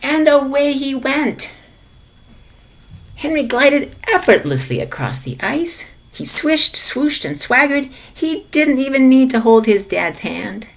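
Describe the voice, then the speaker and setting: Slow, very animated woman reading a picture book. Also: sits high. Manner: expressive, asks the young listeners questions. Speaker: storybook reader for a lesson. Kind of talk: children's picture book read-aloud.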